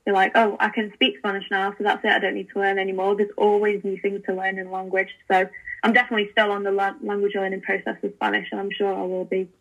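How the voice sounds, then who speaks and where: A woman's voice: medium pitch, little pitch movement, quick pace, a bit bright, breathy edge, muffled recording. A young woman, a podcast guest, chatting enthusiastically with lots of fillers and restarts. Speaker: podcast guest, language learning founder. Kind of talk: casual interview chat.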